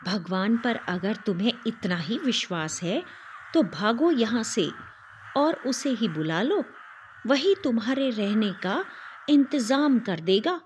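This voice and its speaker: A flock of arrogant, quarrelsome crows in a storybook, harsh and dismissive, with a lively voice that rises and falls, as a woman narrator puts them on. They talk slowly. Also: on the high side, a little hiss or hum. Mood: mocking.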